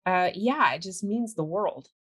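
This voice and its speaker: A woman from California with an American accent, hosting a casual interview, chatty and enthusiastic and quick to laugh. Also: medium pitch, a bit bright, slightly rough. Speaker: host.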